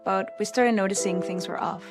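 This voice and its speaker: A woman's voice: mid-range voice, steady tone, brisk, over music. A woman giving a personal testimonial in a public service ad.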